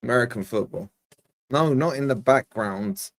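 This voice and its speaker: Panelist, male, Scottish-born, quick teasing banter, dry mic. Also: mid-range voice, no echo. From Scotland. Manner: teasing, quick back-and-forth. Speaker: podcast panelist. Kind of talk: casual football banter.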